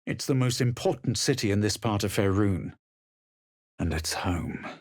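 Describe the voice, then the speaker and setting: An actor playing a haughty, self-assured male adventurer in a fantasy video game, with a gravelly, husky voice and a theatrical manner. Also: lowish voice, animated, normal speed, heavy background noise, lightly acted. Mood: cool, assured. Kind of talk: video game character voice lines and spell incantations.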